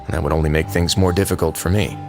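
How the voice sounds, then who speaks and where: A man voicing a calm, composed male prison warden in a video game, measured and wry as he reasons things out. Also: low-pitched, music in the background. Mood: reasoning. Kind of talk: game voice acting.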